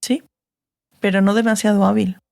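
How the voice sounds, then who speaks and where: Fantasy audiobook sorceress, female actor: stern, dry, authoritative, mid-pitched with plenty of vocal fry. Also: little pitch movement, normal speed, a little mellow, lightly acted. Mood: dry, assured. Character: stern, sardonic, commanding sorceress. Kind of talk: dramatized fantasy audiobook dialogue.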